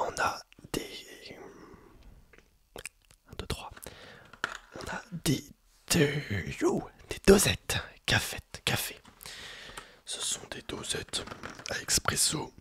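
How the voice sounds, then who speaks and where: Breathy whispered ASMR shopkeeper. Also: mid-range voice, expressive, extremely slow, pauses often, fairly bright tone. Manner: whispering, hesitant, improvised sales patter to the listener. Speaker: ASMR performer playing a coffee roastery shopkeeper.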